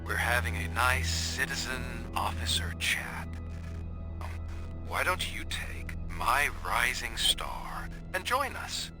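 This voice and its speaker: An actor playing a smug, calmly menacing crime boss in a video game, mock-polite and unhurried. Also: male voice, mid-range voice, whispered, music in the background. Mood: smug menace. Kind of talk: video game cutscene acting.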